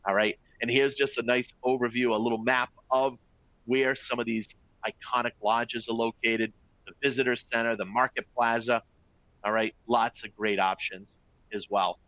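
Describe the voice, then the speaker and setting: A man's voice: fairly low pitch, sharp tone, dry recording, muffled recording. Upbeat Boston man giving a webinar. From Boston area, US. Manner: upbeat, keeps checking in with "all right?" and "folks". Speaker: travel company presenter. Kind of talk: live webinar presentation to travel agents.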